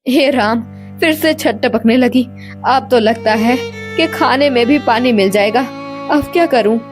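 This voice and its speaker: A woman voicing a caring, fretful village mother with an expressive, fussing manner in a storybook tale. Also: on the high side, unhurried, close to an everyday voice. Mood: worried. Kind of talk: storybook character dialogue. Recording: music bed behind the voice.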